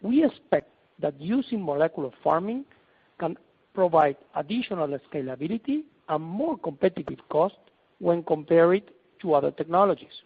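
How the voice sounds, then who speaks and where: A man's voice: fairly high pitch, lively intonation, normal speed, husky. A man presenting as a company executive, reading a scripted investor presentation over a conference call phone line. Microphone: telephone conference call.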